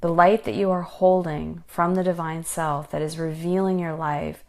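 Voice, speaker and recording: A woman leading a guided meditation in a soothing, unhurried manner, giving gentle instructions with pauses. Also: low voice, fairly even intonation. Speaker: meditation guide and life coach.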